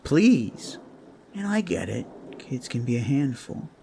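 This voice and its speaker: A man plays a devoted orc father in a fantasy roleplay, weighed down with worry for his children. He talks slowly and haltingly, with an earnest, reflective manner. His pitch is middling for a man, with some ambient noise behind him. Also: big pitch swings, creaky, mellow, full character voice. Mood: wry, reflective. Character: Omar, anxious, devoted orc father, grieving for kids. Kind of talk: roleplay audio drama.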